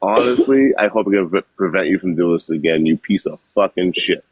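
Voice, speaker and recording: Husky low man ranting hard. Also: a little fast, a little mellow, no echo, lo-fi sound. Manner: profane, heated ranting. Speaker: live stream participant. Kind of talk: angry ranting call-out on a live stream.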